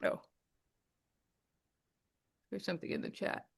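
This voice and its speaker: A woman hosting a webinar on a video call, talking informally and hedging her answers. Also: unhurried. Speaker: medical director hosting a webinar.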